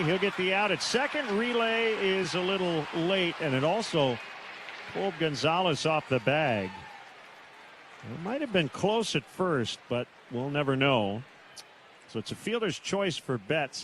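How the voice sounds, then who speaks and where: Higher-voiced man calling baseball play-by-play. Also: noisy background. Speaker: baseball radio play-by-play announcer. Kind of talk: live play-by-play commentary. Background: outdoor ballpark ambience.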